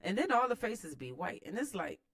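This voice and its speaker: An American woman reading a book aloud and adding informal, slangy commentary in an expressive manner. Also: medium pitch, fairly quick, dry recording, clean studio sound. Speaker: host of a read-aloud series. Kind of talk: book read-aloud with casual running commentary.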